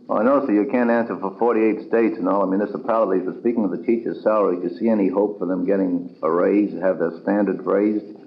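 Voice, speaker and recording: A man's voice: lowish voice, steady tone, quick pace, gravelly. A man interviewing on the radio in a formal but conversational style, with polite, deferential questioning. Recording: dull, band-limited 1950s radio recording.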